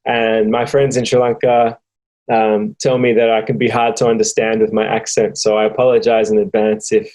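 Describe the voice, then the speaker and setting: A young man with an Australian accent, speaking slowly and reflectively with many pauses, like an interviewee telling his family's story. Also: lowish voice, flat delivery, a little mellow, poor audio. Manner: slow and thoughtful, with frequent pauses and fillers. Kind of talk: reflective personal testimony.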